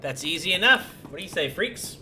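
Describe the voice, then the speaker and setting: Bright-voiced streamer doing casual game commentary. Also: medium pitch, expressive, fairly quick, a little hiss or hum. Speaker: streamer playing a video game.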